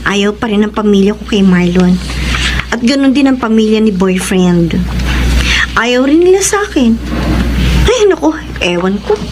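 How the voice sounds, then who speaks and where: An actress playing a young woman in love, playful and expressive, in a Filipino radio drama. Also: medium pitch, measured pace, long pauses, over music, low-quality recording. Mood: defensive, confiding. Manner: expressive, teasing, swooping exclamations. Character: young woman in love, playful with her lovelorn male friend.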